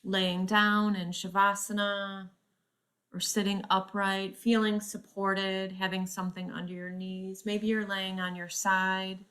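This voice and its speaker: A woman teaching yoga nidra, speaking in measured guided-meditation phrases with frequent pauses mid-sentence. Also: mid-range voice, little pitch movement, a bit bright, smooth.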